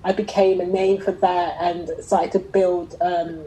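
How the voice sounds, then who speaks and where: A middle-aged woman with a slightly low, very mellow voice. She answers interview questions conversationally, thinking aloud and reshaping her sentences as she tells her story. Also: level tone, a little slow, rough recording. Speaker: interviewee, fashion entrepreneur.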